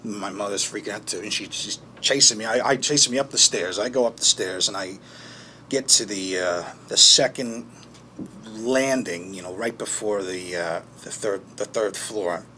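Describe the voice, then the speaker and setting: A man playing a haunted, bitter man who recounts childhood trauma in a halting, foul-mouthed documentary-interview monologue. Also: lowish voice, pushed and bright, slight background noise. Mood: agitated, haunted. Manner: halting, foul-mouthed, with pauses and emphatic bursts. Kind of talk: naturalistic dramatic monologue in interview form.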